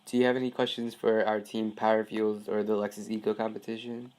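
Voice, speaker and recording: A man's voice: on the low side, little pitch movement, a little fast, a little mellow. A teenage male student conducting an informal phone interview, polite and a little hesitant. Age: teens. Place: phone call.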